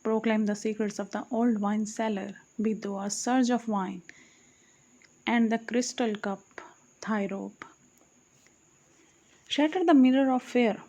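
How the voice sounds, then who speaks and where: A woman's voice: a little high, a little slow, long pauses, warm tone, slight background noise. A woman reading archaic verse aloud as an audiobook, in a solemn recitation that stops to correct her own slips. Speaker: audiobook reader.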